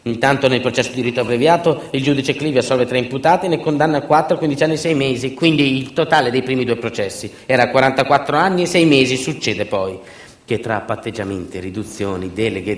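A man performing a theatrical narrative monologue, emphatic and dramatic, with deliberate repetitions and pauses. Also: mid-range voice. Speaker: stage actor and storyteller. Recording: echoey room.

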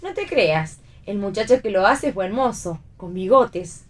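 An actress playing a wry, bossy stage performer who gives dry retorts and directs others in a radio play reading. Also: female voice, mid-range voice, expressive, measured pace. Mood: wry, explaining. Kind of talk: radio read theatre dialogue.

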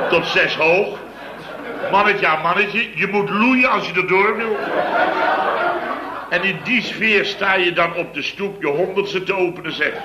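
An actor playing a blustering middle-aged building-firm boss in a 1960s radio comedy, telling stories with big theatrical swings. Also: male voice, fairly high pitch. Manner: boisterous storytelling, exaggerated swings. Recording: echoey old radio studio recording.

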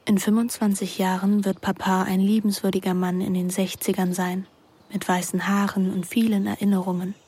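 A woman's voice: mid-range voice, little pitch movement, normal speed, dark tone, breathy edge. An actress playing a restless, detached young woman who narrates and speaks in a radio drama in a composed, reflective way. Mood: wistful. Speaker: lead actress and first-person narrator.

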